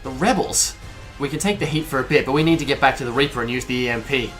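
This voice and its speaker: A man's voice: mid-range voice, quick pace, over music, lightly acted. A man playing a cavalier, wisecracking smuggler pilot in an audio drama, sarcastic and breezy. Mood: urgent, commanding. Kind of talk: scripted audio drama acting.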